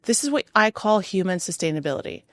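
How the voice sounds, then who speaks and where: A middle-aged woman whose first language is Arabic, hosting a podcast from a hotel room in an earnest scripted monologue of rhythmic lists and emphatic pauses. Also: mid-range voice, very animated, a bit bright. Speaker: podcast host.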